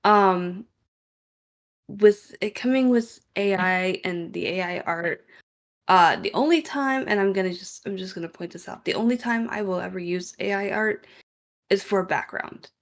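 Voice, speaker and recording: Female voice actor on a panel, unhurried delivery, medium pitch, casual, emphatic, stop-and-start phrasing. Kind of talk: casual opinionated discussion.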